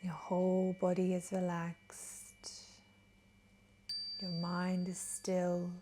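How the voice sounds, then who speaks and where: A woman leading a guided meditation in a gentle, soothing, soft voice that is breathy at times. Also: fairly low pitch, monotone, a little hiss or hum, low-quality recording. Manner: gentle, soothing, unhurried with long pauses. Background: soft chimes.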